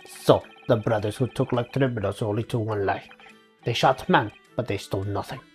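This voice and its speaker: A woman voicing an earnest, suspicious Russian male juror in halting English with a Russian accent, for a game read-aloud. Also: extremely low pitch, soft background music, voice pushed down. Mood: grave. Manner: halting, clipped, dropped articles.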